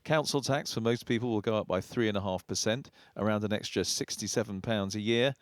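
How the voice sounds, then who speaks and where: A man's voice: fairly low pitch, a little fast, dry recording. A man with a British accent delivering scripted narration as a television news reporter.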